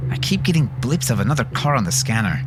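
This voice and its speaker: A man's voice: mid-range voice, big pitch swings, fast, loud music behind the voice, pitched above the performer's own voice, lightly acted. A man voicing an alert, eager tactical navigator in an audiobook, giving clipped and urgent reports. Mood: agitated.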